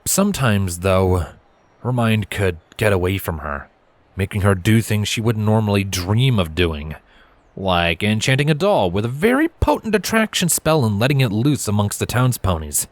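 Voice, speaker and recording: A man's voice: lowish voice, big pitch swings, moderate pace, no echo. A man reading a story aloud as narrator, in scripted storybook narration. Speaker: narrator, fan fiction reader.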